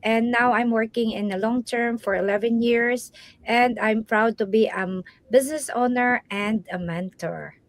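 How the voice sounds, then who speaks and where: A woman's voice: on the high side, steady tone, measured pace, dry recording. A woman from the Philippines, a panelist introducing herself and the topic in an upbeat, proud way.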